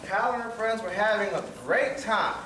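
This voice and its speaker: A man's voice: high-pitched, normal speed, bright, forceful tone. A man narrating a children's story on stage as the storyteller. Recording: echoey stage, distant mic, faint noise.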